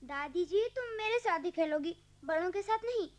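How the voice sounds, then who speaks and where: A woman's voice: very high voice, lively intonation, normal speed. A female performer playing an eager, cheeky little granddaughter in a radio play, chirpy and insistent. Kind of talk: Hindi radio play acting.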